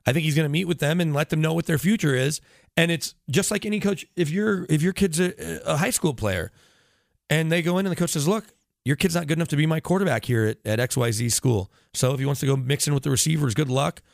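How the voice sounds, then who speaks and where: Male sports broadcaster, rapid pace, dry close sound, long-winded, detailed, casual interview talk. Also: a little high, creaky, no echo. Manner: long-winded, detailed explanations with tangents and self-corrections. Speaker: sports radio broadcaster, podcast guest.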